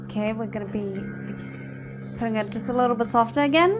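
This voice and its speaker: A woman giving a casual live reaction to a drama series, thinking aloud in an informal, expressive way. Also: fairly high pitch, quick pace, over music. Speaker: reaction video host.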